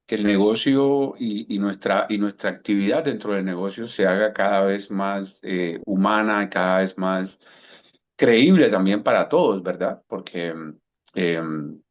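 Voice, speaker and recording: A man in his fifties from Cuba, his accent softened by years in Colombia, speaking as a guest coach over a video call. He tells his story thoughtfully and often repeats words while he finds them. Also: fairly low pitch, lo-fi sound. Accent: Cuban Spanish softened by years in Colombia. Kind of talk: relaxed storytelling interview answer.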